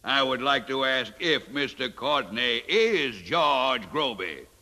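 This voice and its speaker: An actor playing a pompous, sly older senator, orating in florid, grandiose phrases in a 1950s radio drama. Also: male voice, medium pitch, expressive, sharp tone, exaggerated voice. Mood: accusing. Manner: slow, florid, grandiose speechifying. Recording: long echo of a large hall.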